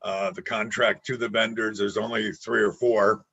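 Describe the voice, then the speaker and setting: A man's voice: lowish voice, flat delivery, moderate pace, vocal fry, pushed and bright, slightly rough. A man speaking insistently as a board member in a formal meeting discussion, over muffled meeting audio.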